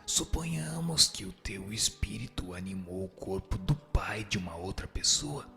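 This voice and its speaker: Solemn spirit instructor for radio drama, male actor: low, gravelly, didactic and declamatory. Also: expressive, a little slow, pushed and bright, heavily acted. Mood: solemn, instructive. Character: solemn spirit instructor answering questions patiently. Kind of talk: scripted radio drama dialogue. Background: music.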